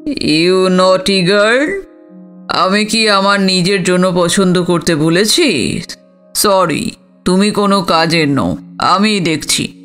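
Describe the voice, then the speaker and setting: A man's voice: high voice, animated, takes it slowly, long pauses, a bit bright, smooth, full character voice. A man playing a wealthy, witty, imperious businessman in an audio story, teasing and theatrical. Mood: teasing, dismissive. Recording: music under the voice.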